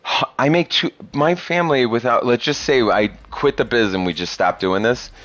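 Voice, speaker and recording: A man's voice: medium pitch, moderate pace, a bit bright, dry recording. A man in his thirties talking bluntly and profanely in a rambling casual podcast chat. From Chicago area. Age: 30s. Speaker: podcast host.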